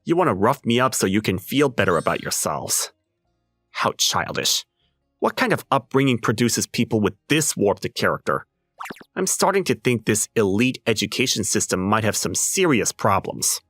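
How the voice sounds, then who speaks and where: A man voicing an unbothered, sardonic high school underdog in an anime-style comic dub, with a dry, mocking delivery. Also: big pitch swings, moderate pace, a bit bright, dry recording, faint music behind. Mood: dry contempt.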